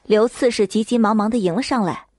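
A woman's voice: fairly high pitch, fairly quick, darkish tone, no room sound. A woman narrating a scripted novel as an audiobook reader.

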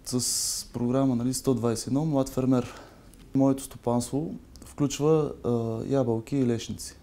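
A man's voice: mid-range voice, fairly even intonation, normal speed, mellow. A man in his twenties from Bulgaria, a young farmer, speaking plainly and steadily with small fillers. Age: 20s. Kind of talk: interview.

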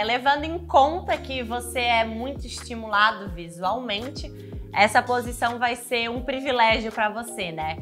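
Woman, Brazilian accent, high pitch, chatty video coach, direct address, music underneath. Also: sharp tone. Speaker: video host, sex and relationship coach. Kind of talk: informal instructional talk to camera. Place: small indoor studio with shelves. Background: background music.

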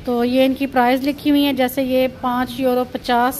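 A woman from Pakistan narrates a casual vlog tour into a handheld phone. Her voice sits high and stays fairly even in pitch, and she keeps drawing the viewer's attention to each thing she sees. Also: normal speed, slight background noise, rough recording. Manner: pointing things out, repeating "look at this". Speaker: vlogger. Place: chocolate shop and busy shopping street.

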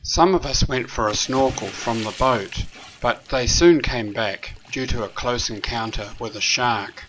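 A man narrating a sailing trip in a calm, measured, matter-of-fact voice-over. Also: medium pitch, a little hiss or hum, rough recording. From New Zealand. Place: recorded separately from the pictured scenes. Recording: voice-over with loud background music.

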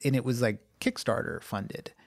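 A man's voice: mid-range voice, normal speed, vocal fry, no echo, clean studio sound. A man co-hosting a podcast in casual chat, rambling with many "like"s and restarts. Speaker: podcast co-host.

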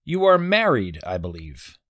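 A formal 1890s prosecutor, played by a woman, with a very deep voice. The questions are precise and methodical, with lively rises and falls, in a dramatic reading of a trial. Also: measured pace, full character voice. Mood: probing, formal. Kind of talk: dramatic reading of a court transcript.

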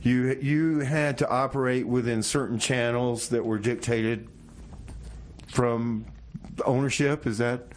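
Chatty male radio interviewer, mid-pitched. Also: steady tone, takes it slowly, slight background noise. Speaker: radio show host, interviewer.

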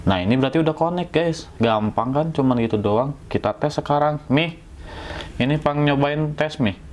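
A man's voice: fairly high pitch, normal speed, a little mellow. A man with an Indonesian accent from West Java, giving a casual, slangy, jokey hands-on tutorial as a motovlogger. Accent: Sundanese-region Indonesian. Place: indoors at home.

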